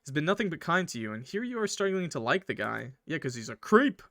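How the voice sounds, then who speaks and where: A man with a bright, slightly high voice narrates a visual novel for a casual let's play. He slips between reading aloud and informal, crude joking commentary. The sound is close and dry. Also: very animated, fast, dry recording. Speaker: gaming video host.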